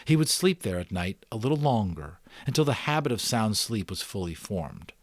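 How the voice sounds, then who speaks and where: A middle-aged man who reads and hosts an audiobook in an expressive, earnest way, with casual commentary. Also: lowish voice, normal speed, no echo. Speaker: audiobook reader and host. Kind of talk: dramatic book narration with casual commentary.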